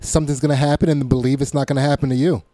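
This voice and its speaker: A man's voice: medium pitch, little pitch movement, rapid-fire, dark tone, no echo. A man hosting a podcast, chatting casually and often circling back to rephrase as he goes. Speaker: podcast host. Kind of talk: casual conversational chat.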